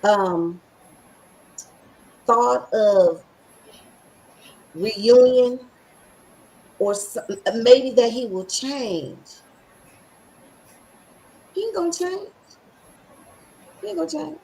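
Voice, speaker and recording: A woman's voice: fairly high pitch, lively intonation, drawn-out words, long pauses, a little mellow. A middle-aged woman with a scratchy voice hosting a podcast, talking in a sassy, emphatic and preachy way with lots of slang. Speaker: podcast host. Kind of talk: casual motivational chat.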